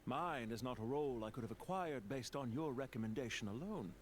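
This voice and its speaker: Shrewd banker villain for a game, played by a man: proud, formal, mid-pitched, very animated intonation, sardonic. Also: fairly quick, a little hiss or hum, close to an everyday voice. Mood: proud, businesslike. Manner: formal, precise, drily sardonic. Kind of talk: scripted video game dialogue.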